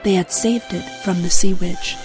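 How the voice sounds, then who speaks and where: A woman's voice: on the low side, steady tone, moderate pace, music in the background. A woman giving a scripted narration of a children's fairy tale.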